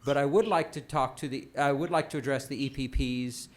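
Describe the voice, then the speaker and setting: A man with a mid-range voice talks through policy in a formal meeting. He is measured and bureaucratic, often restarting his phrases as he works out his wording. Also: mid-range voice. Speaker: official presenting a policy at a commission meeting. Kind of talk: formal meeting discussion, unscripted.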